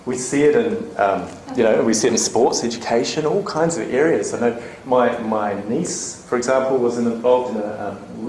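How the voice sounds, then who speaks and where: A man presenting at a conference to a room of educators, talking in a chatty, animated way and checking in with the audience with quick "okay?" and "right?" tags. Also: mid-range voice. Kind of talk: live conference talk to educators. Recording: echoey conference room, faint ambient noise.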